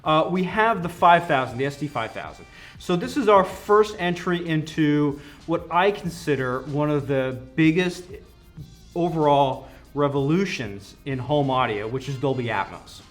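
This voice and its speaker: Higher-voiced man giving an enthusiastic product pitch. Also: expressive, measured pace. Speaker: company product representative. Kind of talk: conversational product demo interview. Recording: music playing behind the voice.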